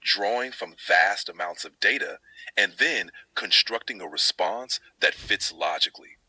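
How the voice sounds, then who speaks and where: A synthetic male assistant voice, deep but extremely bright and forceful. It answers questions in measured, neutral, fully formed sentences, with a slightly husky edge. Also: animated, dry recording, low-quality recording.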